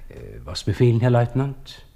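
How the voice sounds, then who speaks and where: An actor playing a servile, gossipy coffeehouse waiter with a husky voice and a Viennese accent in a radio drama. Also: male voice, mid-range voice, wildly swooping pitch, normal speed, warm tone, slight background noise. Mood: obsequious. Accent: Austrian, Viennese. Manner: obsequious, chatty, sing-song deference.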